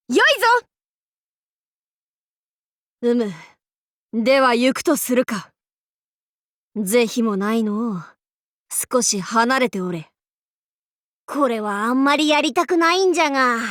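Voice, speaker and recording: A woman's voice: a little high, expressive, measured pace, long pauses, a bit bright, dry recording, clean studio sound. A woman playing a proud, boisterous warlord in an anime game, with pompous, playful, archaic lordly speech. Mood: battle-proud, exultant, then reluctant, confident. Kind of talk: anime game character voice lines.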